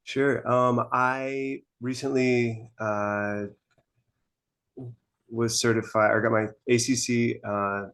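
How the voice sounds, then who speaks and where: A newly certified coach introducing himself on a video call, measured and hesitant. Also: male voice, medium pitch, level tone, a bit bright, clean tone.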